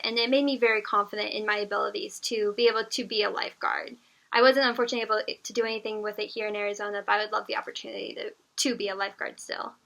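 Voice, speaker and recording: A woman's voice: on the high side, fairly bright tone, low-quality recording. A young adult woman answering a job interview on the phone, earnest and polite, with frequent fillers. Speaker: job applicant.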